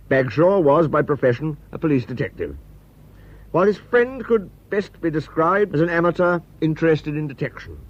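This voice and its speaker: An actor playing a genial literary storyteller with a British accent, narrating a mystery in a measured, wry, ornate manner. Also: male voice, mid-range voice, muffled recording, natural voice. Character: genial, literary male storyteller, fond of his friend. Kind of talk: scripted first-person story narration.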